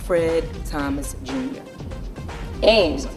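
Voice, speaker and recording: Female talk show host, lowish pitch, effusive, emphatic repetition, interview style, music behind. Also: lively intonation, very slow. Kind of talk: celebrity interview with introductions.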